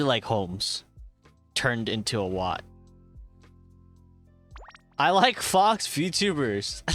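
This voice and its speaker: A man's voice: medium pitch, fairly bright tone. A young man of Thai heritage chatting casually on a live stream, reading chat aloud, swearing and laughing often. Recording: faint music behind.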